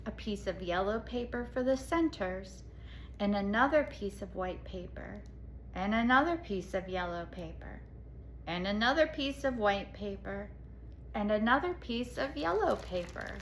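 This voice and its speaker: A woman's voice: medium pitch, expressive, unhurried, slight background noise. A woman reading a storybook aloud to children, expressively, with explanatory asides and questions to the listeners.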